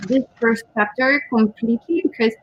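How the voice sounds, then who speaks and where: Woman, non-native English speaker, hesitant and giggly, highish voice, dry close sound. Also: moderate pace, no room sound, highs cut off. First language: not English. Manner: hesitant, searching for words, giggly. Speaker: co-host. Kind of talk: casual chat on a writing livestream.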